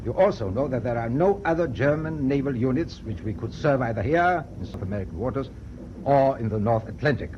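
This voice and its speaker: An actor playing a stern, proud German naval captain in a radio drama, speaking in a clipped, formal, authoritative way. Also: male voice, mid-range voice, lively intonation, a little fast, close to an everyday voice. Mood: grave, resolute. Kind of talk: BBC radio drama acting. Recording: dull, noisy old radio broadcast tape.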